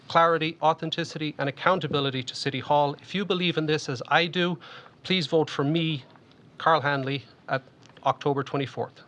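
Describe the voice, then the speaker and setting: A man's voice: a little high, fairly bright tone. A man with an Irish accent, reading a prepared statement as a candidate in a local election. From Ireland. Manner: formal, upbeat speech delivery.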